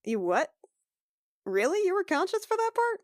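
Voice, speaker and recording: A woman's voice: extremely high pitch, sing-song, moderate pace, dry recording, clean studio sound, voice pushed up. A woman playing a worried, exasperated lady medic in a tabletop game, stammering as she asks probing questions. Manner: stammering, repeating herself, probing. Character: brisk, worried female medic noblewoman, exasperated.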